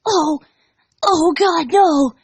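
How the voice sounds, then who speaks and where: A woman voicing a terrified female pegasus pony in a fan story, pleading haltingly and desperately for her life. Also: very high voice, no echo, pitched above the performer's own voice, exaggerated voice. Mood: horrified.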